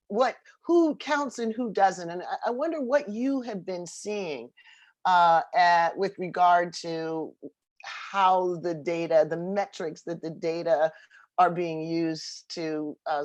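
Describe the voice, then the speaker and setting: A woman hosting a panel discussion, speaking haltingly and deliberately as she frames a thoughtful question. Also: fairly low pitch, a little mellow, no echo. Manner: halting, deliberate, searching for words. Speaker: panel host. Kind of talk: reflective interview question.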